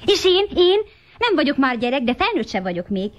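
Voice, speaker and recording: High, chatty little girl. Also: sing-song, dull audio. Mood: wondering. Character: chatty, inquisitive young girl who tags along with grandmother. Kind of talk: Hungarian radio play acting. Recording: noisy old radio play recording.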